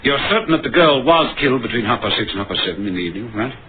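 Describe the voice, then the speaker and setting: An actor playing a dogged, middle-aged police inspector in 1950s radio drama style. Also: male voice, medium pitch, animated, rapid-fire, natural voice. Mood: earnest, pressing. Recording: muffled, noisy vintage radio broadcast.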